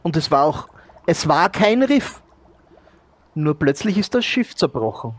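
A man improvising the part of a modest shopkeeper and former sailor, speaking haltingly and repeating words as he searches for them. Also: a little high, very animated. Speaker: player in an improvised pirate audio adventure. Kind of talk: improvised in-character role-play dialogue.

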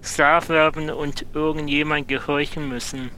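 Male player: bright, fairly high voice, improvised role-play, faint background noise. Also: level tone, unhurried, low-quality recording. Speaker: listener playing in an improvised audio adventure.

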